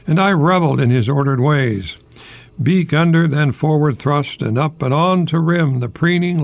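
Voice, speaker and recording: An older man with a husky, creaky voice talks on the radio at an unhurried pace. He is whimsical and self-deprecating, halting as he goes, somewhere between rambling and reciting verse. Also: medium pitch, a little mellow, no echo. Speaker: radio host, retired professor. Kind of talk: rambling humorous radio monologue with poetry readings.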